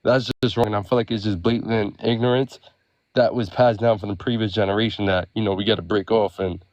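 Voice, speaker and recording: A man's voice: on the low side, steady tone, fairly quick, darkish tone, no room sound. A man, from the Bronx, who talks hesitantly and agreeably and leans on fillers as he gathers his thoughts. His voice comes across dull and lo-fi, with a dry sound. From the Bronx, New York. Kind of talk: casual podcast discussion.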